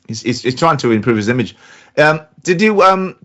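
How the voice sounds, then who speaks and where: Male co-host, chatty, hesitant with stuttered restarts, pitch that swings up and down. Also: lively intonation, moderate pace, bright, forceful tone. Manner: hesitant, stammering restarts, giggly. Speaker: podcast co-host. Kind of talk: casual banter.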